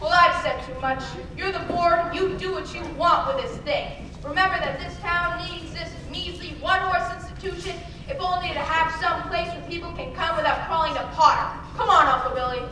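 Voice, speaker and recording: A woman's voice: extremely high pitch, a little fast. A woman playing a brash, idealistic, talkative young small-town man in an old-fashioned radio play. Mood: indignant, earnest. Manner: eager, talkative, earnest. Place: live stage performance in a large room. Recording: echoey hall, distant mic, noisy.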